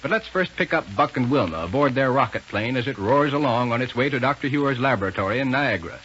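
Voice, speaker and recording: A man's voice: mid-range voice, expressive, fast talker, fairly bright tone. A male radio announcer with a hearty, emphatic delivery in 1930s scripted commercial and narration style. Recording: muffled, hissy 1930s radio recording.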